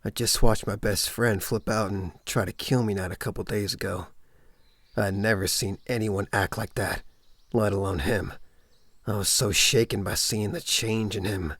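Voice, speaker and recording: A man's voice: on the low side, fairly even intonation, normal speed, a bit bright, no room sound, clean studio sound. A man playing a level-headed cop surviving a zombie apocalypse in a comic dub drama. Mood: shaken, reflective.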